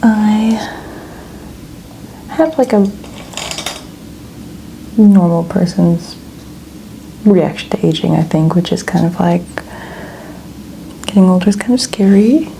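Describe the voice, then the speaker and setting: A woman in her twenties speaking in a soft, breathy near-whisper, chatting casually and gently as an ASMR host. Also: lowish voice, slow, pauses often, slight background noise, low-quality recording. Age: 20s. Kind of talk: soft-spoken ASMR, casual personal chat.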